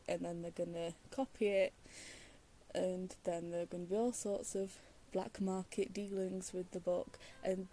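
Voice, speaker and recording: A woman's voice: medium pitch, warm tone, low-quality recording. A woman giving casual commentary as she plays through a game, rambling and hesitant, given to giggling. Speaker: gaming streamer.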